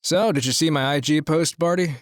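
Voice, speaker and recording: An actor playing a persuasive, scheming rich promoter in an audio drama, talking in a businesslike way. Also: male voice, a little high, fairly quick, dry recording, studio quality, natural voice. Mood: pleased.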